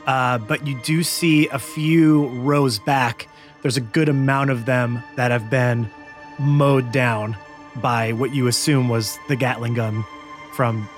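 A man's voice: mid-range voice, steady tone, slow pace. A man running a tabletop role-playing game as game master, narrating scenes and calling for rolls. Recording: music behind the voice. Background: background music.

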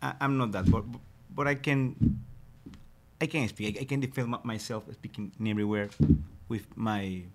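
A Venezuelan man, a songwriter guest, talking casually and haltingly, with many restarts. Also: on the low side. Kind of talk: casual interview chat.

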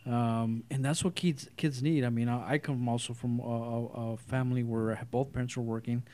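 A man's voice: mid-range voice, fairly even intonation, moderate pace, mellow. Friendly affirming male interviewer. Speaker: interview host. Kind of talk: casual interview talk.

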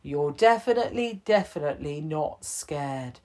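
A woman's voice: low-pitched, animated, a little slow. A woman voicing a patient, kind male prison chief in a bedtime story. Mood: gentle. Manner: gentle, reassuring.

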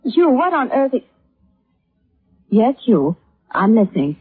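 Anxious polite housewife, husky voice, hesitant, emotional, vintage radio drama, thin narrow-band sound. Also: female voice, a little high, very animated, takes it slowly, heavily acted. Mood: anxious, relieved. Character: anxious middle-class American wife, polite, bewildered, wounded. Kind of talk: 1950s radio drama acting. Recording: muffled vintage radio recording.

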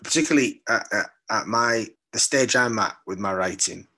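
A man's voice: on the low side, normal speed, pushed and bright, husky. A man from London with a British accent, speaking as a poet in a reflective interview, thoughtful and hesitant, often repeating words as he searches for the right one. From London, England.

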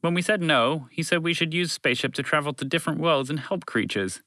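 A man's voice: fairly high pitch, fairly quick, pushed and bright, no echo, voice pushed up. A man voicing a condescending, argumentative alien creature in a children's story, unhelpful and easily offended. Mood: matter-of-fact. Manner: condescending, argumentative, casual phrasing.

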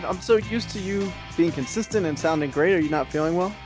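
A man in his forties co-hosting a podcast, talking in a wry, measured and reflective way. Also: fairly high pitch, music in the background. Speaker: podcast co-host. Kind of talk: casual chat. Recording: dry, slightly dull lo-fi sound.

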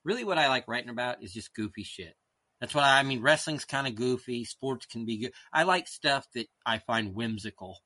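A man's voice: medium pitch, animated, a little fast, bright, forceful tone, no room sound. A middle-aged man, a guest author in a casual interview, rambling and self-deprecating as he drifts off on tangents.

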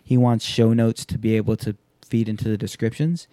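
A man's voice: fairly low pitch, fairly even intonation, brisk, mellow, dry recording. A man in his thirties hosting a podcast, telling stories in a loose, profane, casual way and acting out quotes. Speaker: podcast host. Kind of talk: casual chat.

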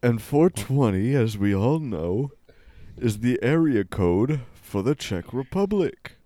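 Deadpan male comic, slow unhurried delivery, dry close sound. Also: mid-range voice, no echo. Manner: deadpan, mock-serious. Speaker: comedy musician guest. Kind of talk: absurd comedic banter.

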